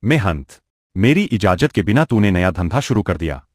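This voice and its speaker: A man as greedy village moneylender villain, deep-ish voice, swinging intonation, threatening, domineering, cartoon story. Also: very animated, moderate pace, no echo, studio quality. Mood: scornful anger. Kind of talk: Hindi animated moral story dialogue.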